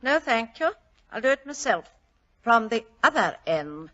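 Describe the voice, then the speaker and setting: An actress playing an imperious, suspicious wealthy wife with a curt, dryly mocking manner, in 1940s radio style. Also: female voice, on the high side, sing-song, unhurried, fairly bright tone. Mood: guarded. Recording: dull old-time radio recording.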